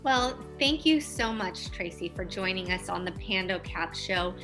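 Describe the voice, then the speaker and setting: A woman's voice: mid-range voice, animated, a little slow, over music. A woman hosting a podcast interview, upbeat and reading prepared introductions and questions. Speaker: podcast host.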